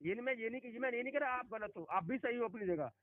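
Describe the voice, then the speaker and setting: A man's voice: very high-pitched, lively intonation, quick pace, no room sound. A man working as a loan company phone agent, arguing insistently and often restarting and repeating his phrases. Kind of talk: argumentative phone conversation. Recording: noisy narrowband phone call recording.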